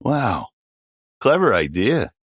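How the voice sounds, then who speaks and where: A man's voice: mid-range voice, big pitch swings, slow, slightly rough, no room sound, studio quality. A man in his forties co-hosting a podcast, joking bluntly and swearing casually. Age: 40s. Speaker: podcast co-host. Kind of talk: casual chat.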